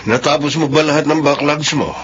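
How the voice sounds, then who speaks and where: An actor playing a kind, supportive company boss who talks in a friendly, reassuring way, in a radio drama. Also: male voice, on the high side, steady tone, a little fast, fairly bright tone, soft background music, lightly acted. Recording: dull lo-fi radio recording, faint hiss.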